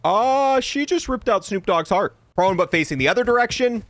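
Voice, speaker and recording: A man's voice: sits high, animated, normal speed, a bit bright, slight background noise. A young man giving casual, informal gameplay showcase commentary as a video host, in a matter-of-fact way.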